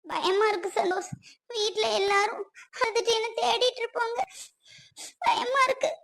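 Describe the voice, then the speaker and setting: A woman's voice: extremely high pitch, fairly even intonation, a little fast. A young adult woman playing a frightened young woman hiding in a rented room, with a timid, pleading way of talking. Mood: fearful, pleading. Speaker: young woman who ran away from home with her partner.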